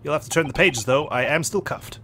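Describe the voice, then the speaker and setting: A man's voice: fairly high pitch, fairly quick. A man with an English accent hosting a let's play, reading game dialogue aloud and making playful, joking asides. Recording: noisy background ambience.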